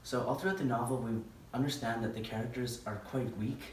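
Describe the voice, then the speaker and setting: A man's voice: medium pitch, steady tone, brisk, crowd noise. Young man presenting in an echoey room. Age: young adult. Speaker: student presenter. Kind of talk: explanatory class presentation. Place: indoor room with curtained window. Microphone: distant camera. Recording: echoey room, distant camera mic, faint noise.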